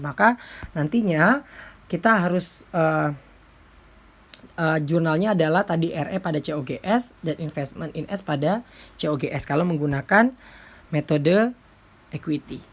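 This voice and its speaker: Low-voiced woman lecturing informally. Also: husky. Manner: step-by-step explaining with frequent check-in tags. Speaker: accounting lecturer.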